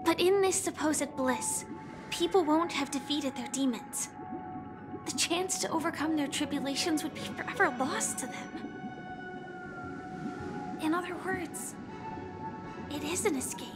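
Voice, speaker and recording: A woman playing a gentle, resolute young heroine in a video game, speaking in a measured, earnest way. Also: sits high, loud music behind the voice. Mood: doubtful, challenging. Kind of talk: video game cutscene acting.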